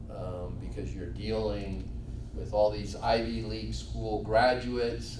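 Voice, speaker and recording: A middle-aged or older man, a native Spanish speaker, giving an informal, plain-spoken talk about his career as a hotel executive, with anecdotes and joking asides. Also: fairly low pitch. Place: speaking to an audience in a roomy venue. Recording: echoey, noisy room, distant mic.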